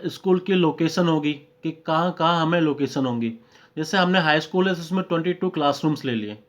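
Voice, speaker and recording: A man with an Indian accent giving a technical lesson step by step, mixing in English terms and often adding short checking tags. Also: on the high side. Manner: repeatedly checks understanding with short tag phrases. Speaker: technical instructor. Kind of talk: lesson, explaining slides step by step. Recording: dull lo-fi microphone recording.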